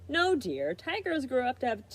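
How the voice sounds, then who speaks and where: A woman reading a picture book as a firm, fussy mother, speaking emphatically and deliberately. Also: sits high, huge pitch swings, pitched above the performer's own voice, full character voice. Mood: firm, warning. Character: firm, fussy mother refusing her son's pets. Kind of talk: picture book read-aloud.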